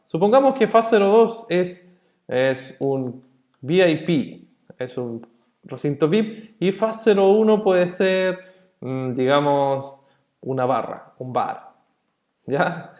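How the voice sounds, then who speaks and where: A male instructor with a somewhat high voice walks students through a networking topic in a casual, conversational tone. He keeps asking short check-in questions and leans on everyday examples to explain ideas. The recording carries a noticeable roomy echo. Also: a little mellow. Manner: chatty, asks the class frequent check-in questions; illustrates points with everyday analogies. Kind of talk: explanatory online lesson.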